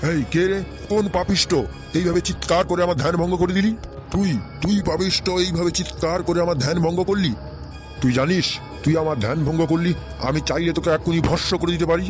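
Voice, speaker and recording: A man's voice: on the high side, fairly even intonation, fast, music in the background, close to an everyday voice. A man voicing a pompous, wrathful holy hermit in a comic cartoon, speaking in a declamatory way.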